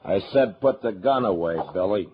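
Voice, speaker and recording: An American actor playing a stern, unyielding frontier marshal in old-time radio western style, terse and full of firm authority. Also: male voice, lowish voice, expressive, normal speed, a little mellow, close to an everyday voice, low-quality recording. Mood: cold warning. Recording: old radio broadcast with background murmur.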